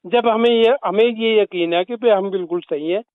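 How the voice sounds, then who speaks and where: A man's voice: sits high, moderate pace, clean tone, dry recording. A man on a phone call, arguing his side firmly and insistently, repeating his points. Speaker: caller in a leaked phone call. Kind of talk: phone conversation. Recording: tinny phone line.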